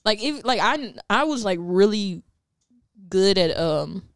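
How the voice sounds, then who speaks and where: A woman's voice: medium pitch, expressive, measured pace, clear, resonant tone, no echo, studio quality. A woman chatting casually, talking with frequent "like" and restarts. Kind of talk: casual chat.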